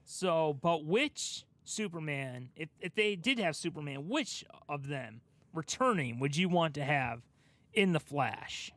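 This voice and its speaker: Male podcast co-host, higher-pitched voice, informal and animated, recorded close and dry. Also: a little slow, no room sound. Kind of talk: casual chat.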